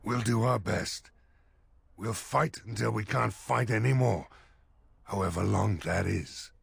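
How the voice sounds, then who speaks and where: An actor voicing a gruff, weary, plain-spoken rustic village mayor in a fantasy video game. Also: male voice, lowish voice, a little slow, no echo, full character voice. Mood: earnest, dogged.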